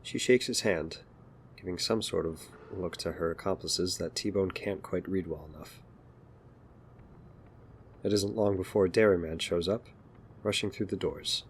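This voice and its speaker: A man's voice: sits low, a little slow, pauses often. A man narrating a scripted audio drama, with ambient noise behind him.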